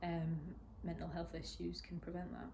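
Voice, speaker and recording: A woman's voice: on the low side, level tone, normal speed, vocal fry, warm tone, slight background noise. A woman in her twenties speaking candidly in an interview, hesitant, with many restarts and fillers. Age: 20s. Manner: hesitant, lots of restarts and ums. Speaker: interviewee sharing a personal story.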